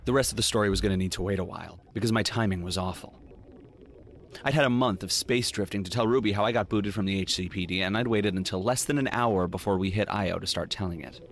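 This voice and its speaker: An actor playing a world-weary, wry detective in a noir audio drama, brooding and sardonic. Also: male voice, deep voice, quick pace. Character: world-weary, wry male detective narrating his story. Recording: faint music behind the voice.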